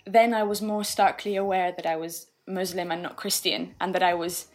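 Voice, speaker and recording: Woman, young, podcast guest; thoughtful, unhurried, lots of ums and gentle laughs. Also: lowish voice, steady tone, soft background music. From England. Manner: thoughtful, frequent fillers and soft laughs. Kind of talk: casual reflective conversation.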